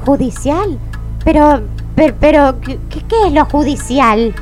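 A woman's voice: high voice, unhurried, darkish tone, soft background music. An actress with an Argentine accent playing a brazen, melodramatic, defiant girlfriend in a comic radio drama.

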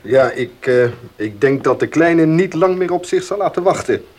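An actor playing a frank, fatherly doctor in a radio drama, with a husky voice and reassuring manner. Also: a little high, lively intonation, normal speed, background noise, lightly acted. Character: avuncular, frank male village doctor.

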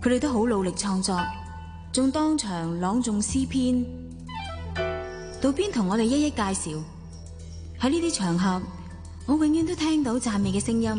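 A woman's voice: on the high side, expressive, moderate pace, pauses often, dark tone, soft background music, close to an everyday voice. A woman acting a well-off, spoiled woman in love in a Cantonese radio drama, with a Hong Kong accent, teasing and coquettish or reflective as she narrates.

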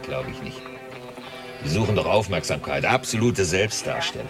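A tough, brooding investigator in a radio drama. His very low voice is gruff and insistent, set against loud music. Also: lively intonation, normal speed. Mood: brooding, certain. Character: irritable, brooding male police investigator, tough and certain. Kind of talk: naturalistic German radio drama dialogue.

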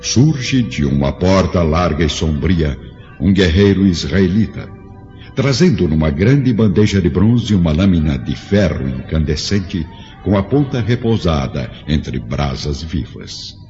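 The solemn storyteller of an ancient tragedy in a radio play. His very deep voice tells it gravely and with literary measure. Music plays clearly behind the slightly dull recording. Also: expressive, unhurried, dark tone. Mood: grave, dramatic. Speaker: narrator. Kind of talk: scripted dramatic narration for a radio play.

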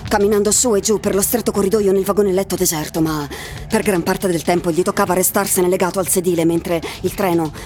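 An actress as the composed narrator of a radio drama, reading literary prose precisely. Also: female voice, medium pitch, steady tone, a little fast, darkish tone, natural voice. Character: composed female narrator of a dystopian story. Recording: music under the voice.

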